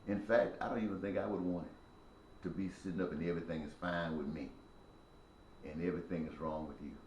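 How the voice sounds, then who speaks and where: Man in his sixties, low-pitched, preachy and emphatic, echoey room with faint hum. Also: steady tone, brisk, a little mellow. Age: 60s. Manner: preachy, repetitive, emphatic cadence. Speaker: speaker addressing viewers. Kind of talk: impassioned spoken monologue.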